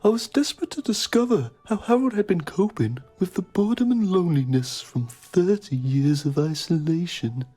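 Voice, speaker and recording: A man's voice: high voice, lively intonation, moderate pace, hardly any pauses, mellow, breathy edge, faint music behind. A man playing a pompous TV journalist, narrating a mock documentary in a solemn, melodramatic manner. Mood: grave, pompous.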